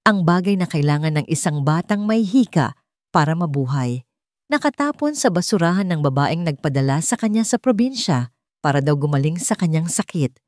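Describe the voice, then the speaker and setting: A woman's voice: on the low side, a little mellow, dry recording, clean studio sound. A woman narrating a dramatic scripted love story.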